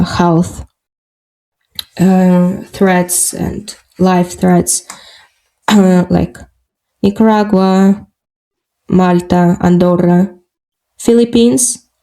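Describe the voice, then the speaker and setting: Slow, gravelly woman with Russian accent. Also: medium pitch, dark tone, low-quality recording. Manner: halting, searching for English words, many fillers. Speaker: podcast guest. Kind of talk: casual interview talk.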